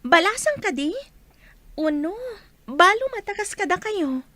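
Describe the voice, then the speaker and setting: A woman playing a sweet, modest teenage daughter in an audio drama, speaking in a gentle, polite way. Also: extremely high pitch, animated, a little slow, slight background noise, heavily acted. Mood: curious, respectful.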